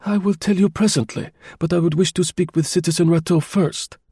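A man's voice: high voice, steady tone, brisk, darkish tone, breathy edge, no echo, pitched above the performer's own voice. A man voicing a cold, scheming male official who speaks curtly and dryly. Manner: curt, dry, bland.

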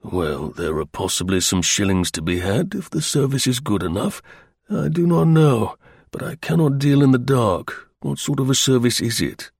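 A man voicing a shrewd, unflappable intelligence officer in an audiobook, speaking calmly, dryly and deliberately. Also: medium pitch, creaky, slightly rough, no echo. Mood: calm, probing. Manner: calm, dry, deliberate.

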